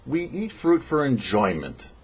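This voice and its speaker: Male rabbi for scripted teaching segment: husky, very animated intonation, didactic, slightly muffled recording. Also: mid-range voice, measured pace. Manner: didactic, poses questions and answers them. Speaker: rabbi giving a weekly Torah portion talk. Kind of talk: scripted religious teaching, read aloud.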